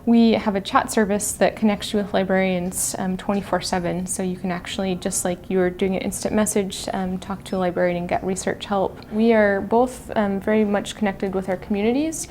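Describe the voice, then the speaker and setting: A woman's voice: medium pitch, steady tone, a little fast, hardly any pauses, a little mellow. Woman explaining services over background noise. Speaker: college librarian, interview guest. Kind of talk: informal explanatory interview talk. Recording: noticeable background ambient noise.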